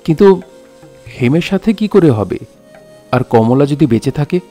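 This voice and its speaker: A man's voice: on the high side, expressive, measured pace, dark tone, faint music behind. A man acting an earnest, devoted young doctor and son in an audio drama, with a hesitant, emotional manner. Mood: hesitant, anxious.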